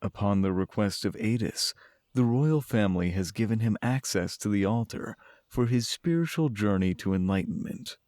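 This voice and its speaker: Mellow, low male narrator. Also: lively intonation, normal speed, no echo, studio quality. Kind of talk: scripted fantasy narration.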